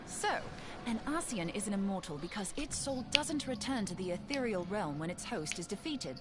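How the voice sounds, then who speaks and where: A woman's voice: medium pitch, lively intonation, normal speed, very noisy, natural voice. An actress playing an eager woman scholar in a video game cutscene, explaining things articulately. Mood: explanatory. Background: game music.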